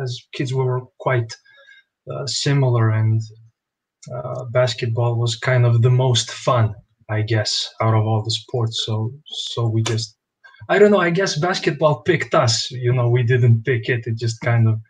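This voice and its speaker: A young man from Bosnia, a guest answering interview questions over a video call in a relaxed, thoughtful way. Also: mid-range voice, slightly rough, rough recording. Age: young adult. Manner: relaxed, thoughtful, "you know" fillers. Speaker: basketball player guest. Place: small home room.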